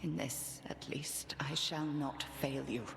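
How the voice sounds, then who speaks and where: An actress playing a solemn, devoted guardian in a video game, deferential and measured toward her lord. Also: low-pitched, steady tone, full character voice. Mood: solemn devotion. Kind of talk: dramatic video game acting. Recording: echoey hall, clear background noise.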